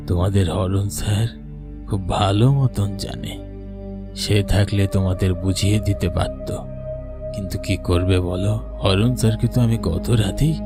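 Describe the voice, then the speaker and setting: A man voicing the menacing ghost of a village schoolmaster in a horror cartoon, speaking slowly and ominously. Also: on the low side, natural voice. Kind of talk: dubbed horror cartoon dialogue. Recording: music under the voice.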